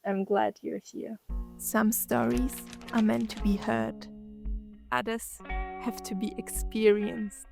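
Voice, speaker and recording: A woman narrating a scripted guided meditation, gently soothing, speaking in short phrases with long pauses. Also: medium pitch, fairly even intonation, creaky, faint music behind.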